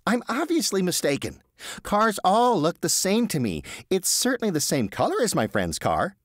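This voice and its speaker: A man's voice: sits high, huge pitch swings, moderate pace, dry recording, clean studio sound, voice pushed up, heavily acted. A man voicing a nervous, evasive man who fumbles and is overly polite while bluffing. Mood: flustered.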